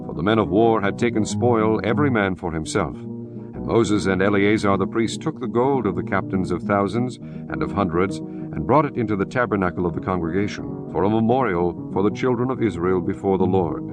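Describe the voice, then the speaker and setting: A man narrating scripture from a script in a solemn, measured and formal way. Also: lowish voice. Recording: music behind the voice.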